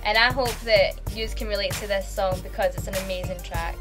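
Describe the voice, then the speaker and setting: A woman's voice: mid-range voice, steady tone, a little fast, over music. A young woman from Scotland with a Scottish accent, talking earnestly and gushingly to camera about her singing career. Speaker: aspiring singer. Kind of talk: promotional profile talk to camera. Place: studio and city streets.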